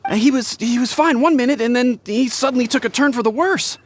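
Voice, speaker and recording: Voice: medium pitch, brisk, a little hiss or hum, lightly acted. A voice actor playing a flustered young male pharmacy assistant in a video game, stammering and out of breath. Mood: panicked.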